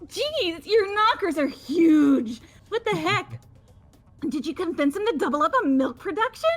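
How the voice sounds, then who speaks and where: A woman's voice: extremely high pitch, very animated, measured pace, faint music behind, heavily acted. A woman voicing a crazed, leering female killer in a video game, giddy and obsessive with gasping, swooning delivery. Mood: rude, leering. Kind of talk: amateur voiced video game playthrough.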